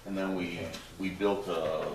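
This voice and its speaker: Brief: very deep man reporting on road work, matter-of-fact, recorded from across a room. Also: fairly even intonation, a little slow. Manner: plain-spoken, matter-of-fact. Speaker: highway superintendent giving a report. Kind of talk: casual meeting report. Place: town hall meeting room. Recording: echoey room from far off, noisy.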